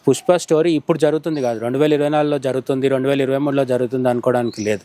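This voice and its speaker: Fast-talking Telugu man with Telangana accent. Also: medium pitch, steady tone, dark tone. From India. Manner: explanatory, poses questions and answers them. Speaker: journalist interviewed as commentator. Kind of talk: conversational news analysis.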